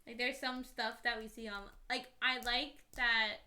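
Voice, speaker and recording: Bright, giggly young woman host. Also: a little high, flat delivery, unhurried. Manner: chatty, giggly, storytelling with lots of "like". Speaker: podcast host. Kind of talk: casual couple's podcast chat.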